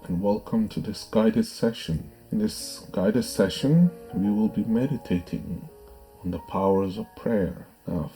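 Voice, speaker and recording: A man narrating a guided meditation in a measured, soothing, instructive way. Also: sits low, mellow. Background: background music.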